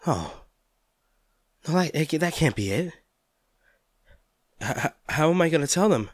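A man playing an anguished, tender lover comforting an injured partner in a hospital audio roleplay, speaking haltingly and with emotion. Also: fairly high pitch, animated, no echo, clean studio sound. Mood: shocked, anxious. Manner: halting, stammering, emotional delivery. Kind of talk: audio roleplay drama, one-sided dialogue.

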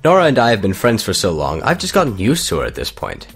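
An actor playing a calm, courteous young martial-arts student with a measured, formal manner in an audio drama. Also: male voice, on the low side, gravelly, soft background music, exaggerated voice. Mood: calm, patient.

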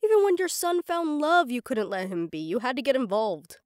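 A woman's voice: high voice, sing-song, a little fast, dry recording, studio quality. A woman playing a stubborn, sarcastic young goddess in an audio roleplay drama, teasing and defiant.

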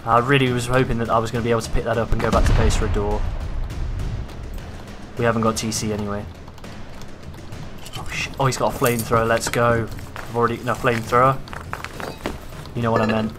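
A man giving casual gameplay commentary, his voice a little raspy from hours of talking, excitable and swearing freely. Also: medium pitch, fairly quick, pauses often, music in the background. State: slightly raspy from long talking. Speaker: gaming YouTuber.

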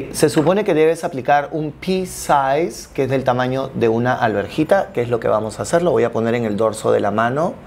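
A man's voice: mid-range voice, darkish tone, slight background noise. A man in his early fifties with a Peruvian accent, chatting expressively and familiarly to viewers in a casual makeup review. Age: early 50s. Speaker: beauty vlogger and makeup reviewer. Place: indoor room, filmed seated in front of a plain wall. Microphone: lapel mic.

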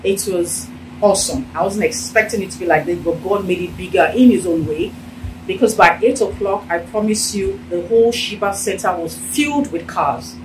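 A middle-aged Nigerian woman with a Nigerian accent, telling her story in a casual, animated interview in an office. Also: medium pitch, normal speed, distant mic, noisy background. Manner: animated, gesturing while talking. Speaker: interviewee, business owner. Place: indoor office with sofa. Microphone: handheld phone held out by interviewer.

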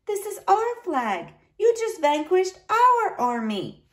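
A woman's voice: extremely high pitch, very animated, slow pace, echoey room, voice pushed up, exaggerated voice. A woman voicing a small, earnest little niece who speaks plaintively in a children's storybook. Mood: matter-of-fact. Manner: plaintive, pleading, simple delivery.

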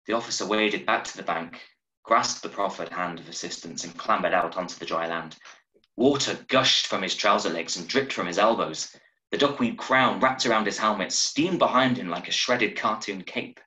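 A man in his thirties giving a measured, expressive reading of a short story over a video call. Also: lowish voice. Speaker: story reader. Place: small room at home, on a video call. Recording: roomy, echoey video-call sound.

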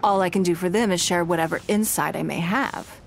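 A woman voicing a poised, shrewd businesswoman in a game, speaking in a composed, measured, elegant way. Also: fairly low pitch, slight background noise, natural voice. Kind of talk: game dialogue.

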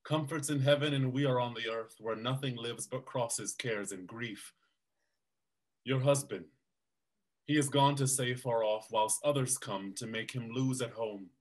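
An actor voicing an aged royal uncle answers with resigned heaviness. The voice is mid-pitched and plain. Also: male voice, unhurried, close to an everyday voice, rough recording. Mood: weary. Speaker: actor in a staged reading. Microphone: video call webcam.

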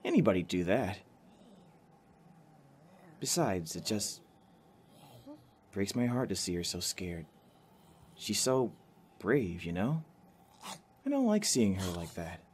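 A man's voice: on the low side, very animated, slow, long pauses, slight background noise. A man with an American accent playing an earnest, jittery, caring young survivor in a zombie apocalypse audio drama. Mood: tender, subdued. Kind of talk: fan audio drama acting.

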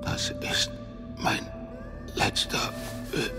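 An actor playing a dying, solemn man in a German radio drama, gasping out halting last wishes in a breathy voice. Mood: weak, dying. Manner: halting, effortful speech. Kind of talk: German audio drama acting. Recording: music behind the voice.

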